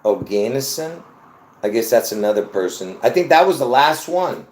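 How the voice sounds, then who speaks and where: A man from Lebanon hosting his own video, talking excitedly to viewers in a rambling, repetitive way with bursts of laughter. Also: medium pitch, normal speed, roomy sound. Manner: excitable, repeats himself, laughs between points. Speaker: video host. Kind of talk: rambling casual monologue to viewers.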